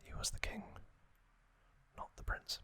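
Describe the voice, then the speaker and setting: A man whispering an unhurried ASMR recap, with soft pauses and frequent ums. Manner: unvoiced whisper, slow and relaxed with frequent ums. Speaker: ASMR channel host.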